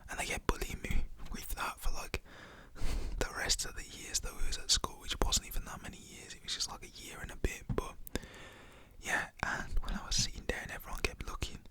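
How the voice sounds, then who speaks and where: A man's voice: fairly quick, pushed and bright. Whispering young man. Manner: rambling, full of "like" fillers; whispers throughout. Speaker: storytelling vlogger. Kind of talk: whispered storytelling.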